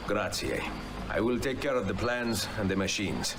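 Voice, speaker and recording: Actor as a low-voiced Italian Renaissance adventurer. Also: male voice, lively intonation, moderate pace, background noise, natural voice. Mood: earnest, dramatic. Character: Italian men of Renaissance adventure: assassin and inventor. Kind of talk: dramatic video game cutscene acting. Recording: game audio under loud music.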